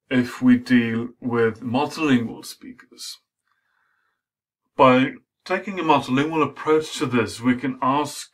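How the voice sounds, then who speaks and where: A middle-aged man, a native Swedish speaker, giving an academic lecture in a measured way with frequent fillers. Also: mid-range voice, vocal fry, a bit bright.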